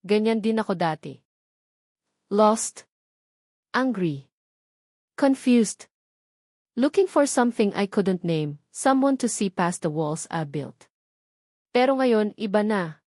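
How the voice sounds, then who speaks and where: Female narrator as young college heroine, wistful, earnest, reflective, mid-pitched, dry audio drama sound. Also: lively intonation, slow, long pauses, darkish tone, no room sound. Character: wistful, regretful young college woman, once angry and rebellious.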